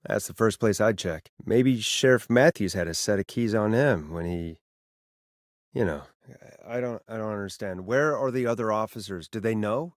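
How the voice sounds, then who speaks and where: A man's voice: lowish voice, normal speed, no echo, clean studio sound, natural voice. An actor playing a sardonic male late-night radio talk show host who stammers a little under pressure, in a video game. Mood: concerned, uneasy. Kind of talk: video game voice acting, conversational radio patter.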